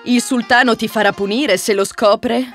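A woman playing a worried, loving mother in a children's fairy tale, with wailing, drawn-out exclamations. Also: fairly high pitch, steady tone, soft background music, lightly acted. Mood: alarmed.

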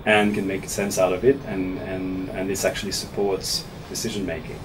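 A man from Switzerland in his thirties, a professor answering interview questions in a small office in a measured way, often restarting his words. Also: deep voice, flat delivery, faint music behind, low-quality recording. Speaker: actuarial professor being interviewed. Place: small office meeting room, seated at a table. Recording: room recording with audible air conditioning hum.

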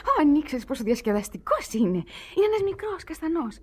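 High, lively, infatuated young girl. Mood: dreamy, excited. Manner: chatty, enthusiastic. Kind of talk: radio play acting.

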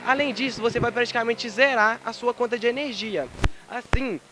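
A man's voice: extremely high pitch, normal speed. A young man explaining a solar energy project step by step at a school fair. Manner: methodical, explanatory. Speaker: student presenter. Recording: noisy outdoor recording.

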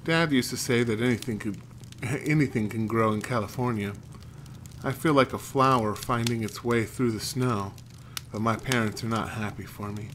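A man's voice: mid-range voice, moderate pace, a bit bright, slight background noise. A man streaming a horror game, chatting and reading in-game notes aloud, sometimes stumbling over names. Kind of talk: let's play commentary and reading notes aloud.

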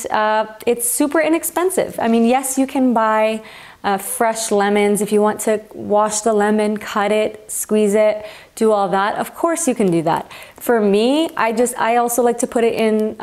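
A young woman talks straight to camera from her home kitchen, chatty and upbeat, her hands moving as she shows off groceries. Her voice sits at an easy middle pitch and comes through close and clean on a clip-on mic. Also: fairly even intonation, moderate pace, a little mellow. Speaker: healthy eating vlogger. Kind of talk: casual talk to camera. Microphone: clip-on lapel mic.

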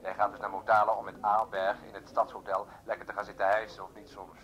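A man's voice: on the low side, flat delivery, quick pace, fairly bright tone, lightly acted. A man playing a dry, teasing police detective in a Dutch radio play. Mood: wry, teasing. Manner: wry, teasing. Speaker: actor in a radio drama.

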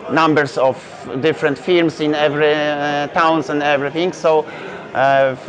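A man's voice: fairly high pitch, flat delivery, normal speed. A young man with a Polish accent, a native Polish speaker, answering interview questions in English with many fillers and restarts. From Poland. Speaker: brewery founder. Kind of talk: festival interview. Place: indoor beer festival hall. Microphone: lapel mic. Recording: dull lo-fi sound with festival crowd chatter.

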